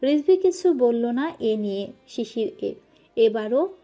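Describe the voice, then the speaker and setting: A woman narrating a scripted romantic love story with an expressive, emotive reading. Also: fairly high pitch, slow pace.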